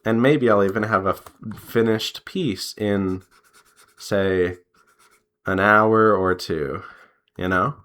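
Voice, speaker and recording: A man chatting casually as he draws, rambling in an unhurried way and pausing mid-sentence. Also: on the low side, a bit bright. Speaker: artist and devlog host. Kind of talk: casual chat while drawing.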